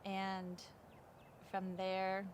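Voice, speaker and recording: Woman with an even, unvarying delivery, some noise. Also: medium pitch, extremely slow. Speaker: fossil hunter. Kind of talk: casual documentary interview storytelling.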